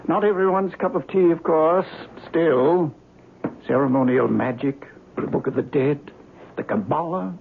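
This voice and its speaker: An actor playing a pedantic, erudite parapsychology doctor with ponderous, theatrical diction in a 1970s radio drama. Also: male voice, fairly high pitch, a little slow, creaky. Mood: musing, fascinated. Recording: muffled, hissy 1970s radio broadcast.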